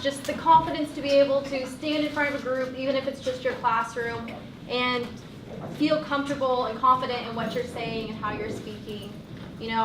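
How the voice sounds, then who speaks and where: A woman's voice: sits high, noisy background. Encouraging woman teaching in a roomy classroom. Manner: upbeat, encouraging, explains step by step. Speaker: college communication instructor. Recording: roomy classroom recording from a distance.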